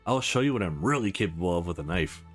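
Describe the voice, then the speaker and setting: A man's voice: deep voice, lively intonation, quick pace, pitched below the performer's own voice. A man voicing a curt, bossy woman chef. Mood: stern, bossy. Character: stern, sharp-tongued female chef from a visual novel. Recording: music under the voice.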